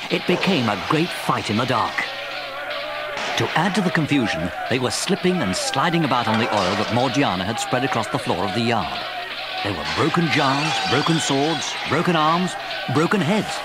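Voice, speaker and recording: A man narrating a children's story from a script in an expressive storytelling manner. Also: medium pitch. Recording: vintage cassette tape. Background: music.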